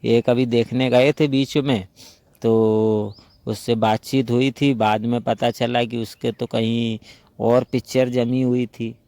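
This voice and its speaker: A man's voice: mid-range voice, flat delivery, takes it slowly, darkish tone, no echo. A man playing a chatty, flirtatious unmarried brother-in-law in a staged phone-call role-play, talking in a casual, rambling, gossipy way. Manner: conversational, gossipy, rambling storytelling.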